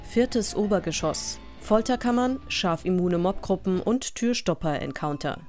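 A woman's voice: mid-range voice, expressive, measured pace, a little mellow, soft background music, close to an everyday voice. A woman playing a formal, detached elevator announcement voice in a parody audio drama.

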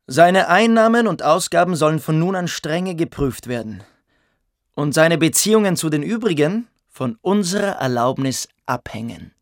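An actor playing a haughty, domineering boarding school pupil in a radio play, sardonic and commanding in manner. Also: male voice, fairly high pitch, lively intonation, normal speed, no echo. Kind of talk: literary radio play acting.

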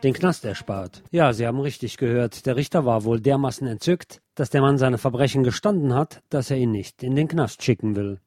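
A man's voice: mid-range voice, darkish tone. A man presenting scripted news as a commentator, with a sardonic, ironic manner. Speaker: presenter of a local news programme.

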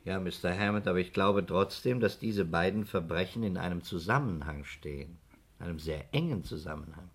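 An actor playing a thoughtful, persistent, quietly skeptical investigator in a 1960s German radio play, speaking in a conversational, probing way. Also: male voice, sits low, moderate pace, creaky, husky, full character voice.

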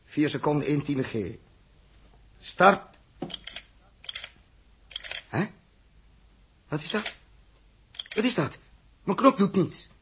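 An actor playing a brisk, decisive male spaceship captain in a 1960s radio drama, urgent, clipped and commanding. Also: on the high side, animated, a bit bright, a little hiss or hum. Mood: tense urgency. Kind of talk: 1960s Dutch radio science-fiction acting.

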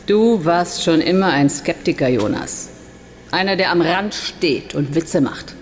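An actress playing a coolly sardonic urban guerrilla leader in a radio drama, self-assured, taunting and given to declaiming. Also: female voice, lowish voice, expressive, measured pace. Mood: mocking, commanding. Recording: long reverb of a large hall.

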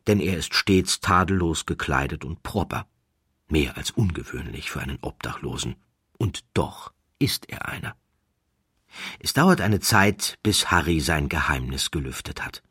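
A man narrating a literary story from a script in a measured, dramatic way with deliberate pauses, close to the microphone. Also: extremely low pitch, fairly bright tone, husky, dry recording. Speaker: audiobook narrator.

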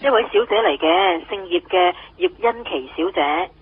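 A woman's voice: on the low side, sing-song, normal speed, creaky, phone-quality sound. A chatty female airline employee in a Cantonese radio drama. Her Hong Kong-accented voice comes through a thin telephone filter. Faint noise sits on the line.

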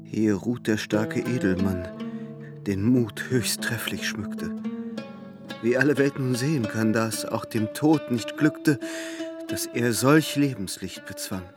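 A slow, ceremonious eulogist. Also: male voice, lowish voice, music in the background. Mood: solemn tribute. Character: man reciting a solemn rhymed epitaph for a knight. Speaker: actor in a radio play. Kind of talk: literary radio drama, verse recitation.

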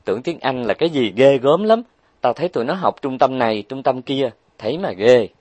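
A loud, bossy young woman who brags about herself, pitched well up into a higher, brighter register, as a male reader puts her on. She pushes people around and takes it for granted that she will get her way. Her lines come out dry and close to the ear. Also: lively intonation, brisk, no echo, natural voice. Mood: boastful. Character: brash boastful young woman, rich and bossy.

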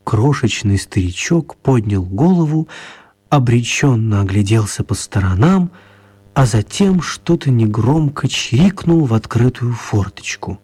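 A man with a husky voice narrating a scripted children's radio play as the storyteller. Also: medium pitch, lively intonation, unhurried, a little mellow.

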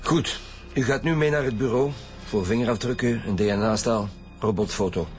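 An actor playing a dry, sceptical police detective with a Flemish accent in a naturalistic radio drama. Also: male voice, fairly low pitch, normal speed, soft background music, natural voice. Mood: businesslike. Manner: matter-of-fact, blunt.

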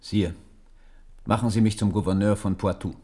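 A hard-headed minister to a king in a radio drama. He has a low voice and presses his case bluntly and formally, with stern urgency. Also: fairly even intonation, normal speed, dark tone, husky. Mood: grave, insistent. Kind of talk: German radio play acting.

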